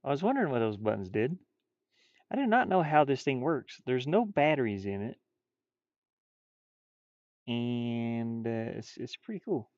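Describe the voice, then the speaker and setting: A man with a mid-pitched voice talks casually to a stream while he works on a drawing. He mutters and thinks aloud in a folksy, informal way. The sound is dry and slightly dull, like a modest microphone. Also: big pitch swings, unhurried, long pauses, darkish tone, dry recording. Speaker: streamer and hobby artist.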